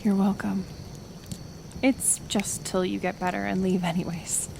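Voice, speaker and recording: Slow, gentle half-elf healer played by a woman. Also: mid-range voice, level tone, gravelly, background noise. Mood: gentle, reassuring. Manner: soft, hesitant, soothing, with pauses. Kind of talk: intimate ASMR roleplay acting.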